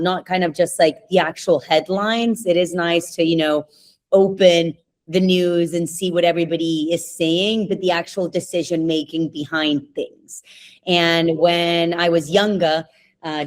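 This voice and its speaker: A young adult woman, raised in Spain, chatting openly as a podcast guest and laughing often. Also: fairly low pitch. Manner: chatty, laughs often, asks herself questions. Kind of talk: casual interview answers.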